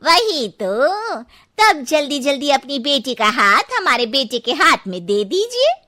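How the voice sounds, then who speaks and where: Cartoon mother-in-law female actor, proud and insistent, high forceful voice, slow delivery. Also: big pitch swings, dry recording, studio quality, exaggerated voice. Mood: proud, pushy. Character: pushy, proud mother-in-law of a boastful family. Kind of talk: cartoon story dialogue.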